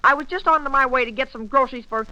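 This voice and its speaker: A man playing an awkward, eager American teenage boy with a breaking voice and halting delivery in a 1940s radio comedy. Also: extremely high pitch, bright, forceful tone, lo-fi sound, exaggerated voice. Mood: nervous bluster. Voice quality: adolescent breaking voice. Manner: halting, stammering, earnest. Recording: vintage 1940s radio broadcast.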